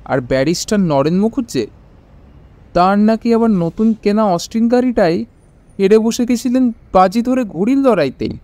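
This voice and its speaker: Wistful older gentleman, higher mellow voice. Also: expressive, moderate pace, no echo. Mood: reminiscing. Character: Abinashbabu, nostalgic older neighbourhood gentleman reminiscing. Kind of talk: radio audio-story acting, reminiscing.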